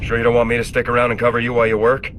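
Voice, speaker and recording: Male actor playing young recruit soldier, rapid delivery, dutiful, confident, crisp, game dialogue, noisy background rumble. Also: completely flat delivery, a bit bright, natural voice. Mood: reassuring. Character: earnest, determined young male soldier, squad newcomer. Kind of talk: video game voice acting.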